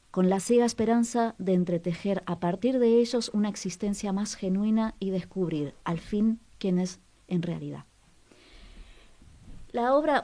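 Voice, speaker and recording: A woman presenting cultural recommendations on the radio in a casual, conversational way, pausing to search for words and repeating some for emphasis. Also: medium pitch, mellow.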